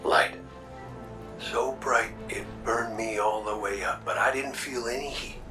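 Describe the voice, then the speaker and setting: An actor playing a wry, roguish robot gunslinger in a video game, with a folksy drawl. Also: male voice, mid-range voice, fairly even intonation, a little slow, far from the mic, over music, lightly acted. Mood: guarded, subdued. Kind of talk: video game cutscene acting.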